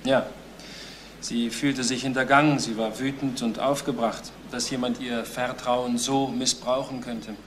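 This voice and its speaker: An actor playing an earnest, reasonable adviser who explains things deliberately in a crime radio play. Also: male voice, mid-range voice, little pitch movement, close to an everyday voice. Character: earnest, measured male adviser giving testimony. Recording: noisy old radio play recording.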